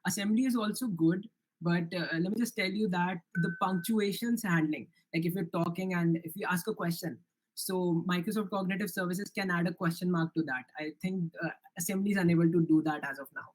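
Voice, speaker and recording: A young man with an Indian accent presents his software project in a live online demo, answering questions earnestly with frequent restarts and fillers. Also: high-pitched, fairly even intonation, brisk, rough recording. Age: young adult.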